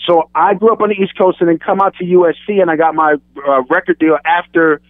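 Mann mit leichtem New-York-Akzent, blechern klingend. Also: male voice, high voice, steady tone, fast talker, dry recording. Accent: light New York. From born in London, raised in Queens, New York. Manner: rambling, with many restarts. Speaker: guest rapper. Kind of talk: casual radio interview. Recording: tinny phone-like sound.

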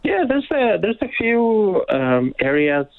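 A man's voice: sits high, big pitch swings, no room sound. Man from Argentina on a phone line. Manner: slow, measured, hesitant with fillers and restarts. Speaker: finance educator guest. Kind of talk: explaining finance on a radio call-in. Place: on a phone call into a radio studio. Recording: tinny phone line, dry.

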